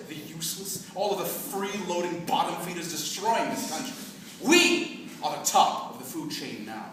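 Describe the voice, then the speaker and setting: An actor playing a smug, seductive, grandiose zombie convert in a staged reading of a dark comic play, with a theatrical delivery that moves between silky and gloating. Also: male voice, fairly high pitch. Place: live stage reading in a hall. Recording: echoey hall from far off, noisy.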